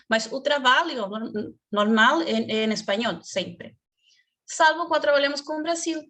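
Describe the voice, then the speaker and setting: A woman speaking as a guest in a career webinar over a video call, explaining in a measured way and stopping now and then to search for words. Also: fairly high pitch, fairly bright tone.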